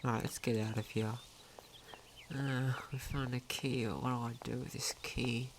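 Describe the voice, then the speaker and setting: Slow, creaky man playing a video game. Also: mid-range voice, little pitch movement, background noise. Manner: thinks aloud, repeats phrases, asks himself questions. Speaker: gaming commentator. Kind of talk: casual let's play commentary. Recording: game music behind the voice. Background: video game music.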